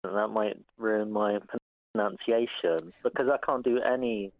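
A man's voice: lowish voice, fairly even intonation, moderate pace, no room sound. Man on a tinny phone line. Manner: curious, hesitant questioner who laughs often. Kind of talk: casual radio chat.

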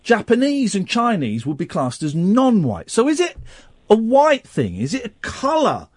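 A man's voice: sits high, huge pitch swings, moderate pace, breathy edge, no room sound. A male radio host in casual studio chat, rambling with stammered restarts and musing questions.

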